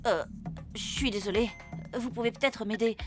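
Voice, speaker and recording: Lady doing a determined young girl, high voice, cartoon, music playing under. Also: moderate pace, lightly acted. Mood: polite. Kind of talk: animated cartoon dub.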